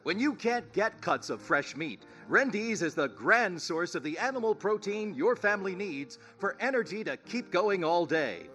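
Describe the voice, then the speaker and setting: A man plays a chipper, folksy announcer from 1930s radio. He pitches high with lively swings, polished and salesmanlike, over music. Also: normal speed, few pauses, full character voice. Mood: upbeat selling.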